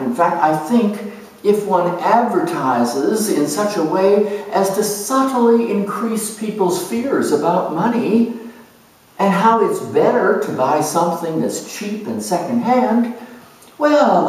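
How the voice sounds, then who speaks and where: A man performing a first-person character monologue in a deliberate, measured, theatrical way with emphatic phrasing. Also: high voice, very animated. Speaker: astrologer dramatizing zodiac character types. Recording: echoey room, distant microphone.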